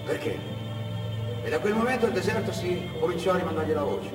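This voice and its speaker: A man giving a dramatic theatrical narration of a story, deliberate and intense, in short measured phrases. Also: high-pitched. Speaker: stage actor and storyteller. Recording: echoey hall, distant mic, music behind.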